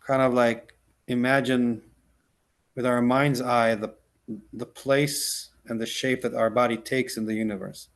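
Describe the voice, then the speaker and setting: A rabbi whose first language is Hebrew, teaching a casual class and then leading a slow, gentle guided meditation, full of restarts and "right?" checks. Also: male voice, medium pitch, little pitch movement. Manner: halting, many restarts and "like", "right?".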